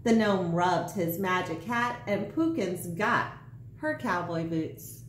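Woman, forties, storybook narration for children, unhurried, expressive pitch, small echoey room. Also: a little hiss or hum. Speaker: teacher reading aloud. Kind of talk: children's picture-book narration.

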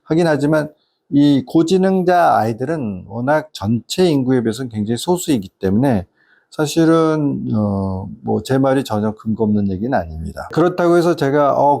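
Middle-aged male consultant-host, soft mellow tone with noticeable fry, mid pitch, earnest informal explainer style. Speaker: educational consultant and channel host. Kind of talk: informal explanatory talk addressed to parents.

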